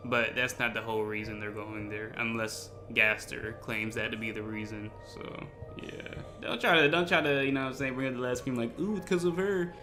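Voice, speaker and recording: A man giving casual, excitable reaction commentary in slangy talk, often repeating words for emphasis. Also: mid-range voice, a little fast, few pauses. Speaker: host of a reaction video. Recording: music behind the voice.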